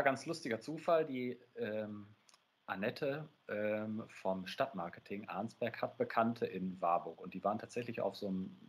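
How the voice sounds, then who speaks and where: A man in his thirties talks as a guest in a casual video-call interview. His voice is on the low side and noticeably husky, and he explains things conversationally. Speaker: interview guest, event organiser. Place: dim indoor room. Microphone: wired earphones on video call.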